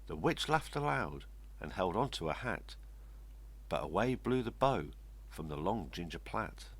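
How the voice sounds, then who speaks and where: An older man with a gravelly, husky voice reading a rhyming children's story expressively. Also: low-pitched, big pitch swings, unhurried. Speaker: story reader. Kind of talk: expressive read-aloud children's storytelling in rhyme.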